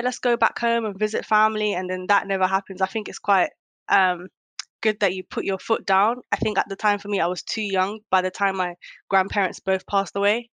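A woman's voice: medium pitch, a bit bright, no echo. A young woman born in Kenya, speaking reflectively in a casual conversation, with lots of "um"s. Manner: reflective, many fillers.

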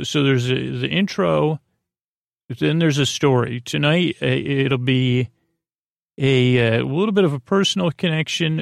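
A man hosting a podcast with a meandering, halting bedtime monologue, often losing his thread as he goes. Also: medium pitch, vocal fry, no echo, studio quality. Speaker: podcast host. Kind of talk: rambling, improvised bedtime monologue.

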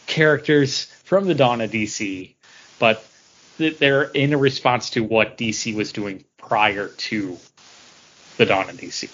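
Male podcast co-host, mid-pitched voice, casual and a bit halting, slightly lo-fi recording. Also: a bit bright. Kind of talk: casual chat about comic books. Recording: dull, lo-fi podcast audio.

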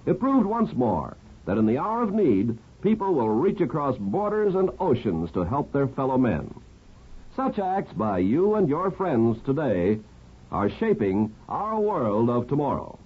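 A man with an American accent reading scripted narration as a 1950s radio host, in a husky voice. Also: medium pitch, sing-song, measured pace. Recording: tinny 1950s radio broadcast.

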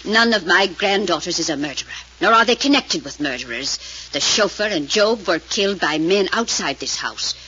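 A woman's voice: fairly low pitch, expressive, moderate pace, bright, forceful tone, a little hiss or hum. An actress playing a stern, haughty old grandmother, formal and dismissive, in a 1940s radio drama. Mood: stern, indignant. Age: older. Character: stern, imperious old grandmother, proud family matriarch. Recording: muffled old radio recording.

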